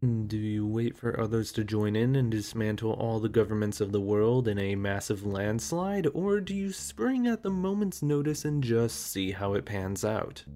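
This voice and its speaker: A man narrating a scripted history explainer, mixing steady exposition with wry conversational asides. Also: lowish voice.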